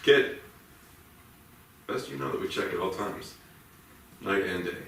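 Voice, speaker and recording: An actor playing a stern, bullying police officer in a staged play reading, curt, commanding and needling. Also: male voice, deep voice, measured pace, a bit bright. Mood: commanding, menacing. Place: theatre stage, indoors. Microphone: distant room microphone. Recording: very echoey theatre, distant mic, faint noise.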